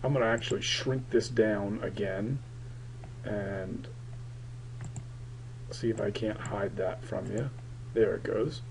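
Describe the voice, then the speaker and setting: A man records a lecture over his slides, his voice raspy and gravelly while it recovers. The tone is dark and mellow, and he talks in a halting way with many fillers. A faint hum sits behind the computer mic. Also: on the low side, fairly even intonation. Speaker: political science teacher.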